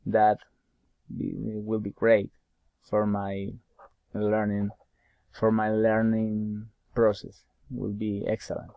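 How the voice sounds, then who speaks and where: A young adult man, a native Spanish speaker with a Spanish accent, answers study questions aloud in English. He is halting and repeats words while searching for them. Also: fairly low pitch, monotone, gravelly, no room sound, narrow, tinny audio. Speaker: university English student.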